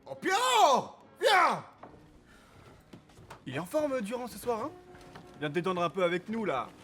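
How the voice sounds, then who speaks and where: Acteur en marin blagueur à la voix animée. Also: male voice, high voice, sing-song, unhurried, pushed and bright, faint music behind, exaggerated voice. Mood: jovial. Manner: bantering, colloquial. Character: teasing, jovial sailor at cards with crewmates. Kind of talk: audio fiction dialogue.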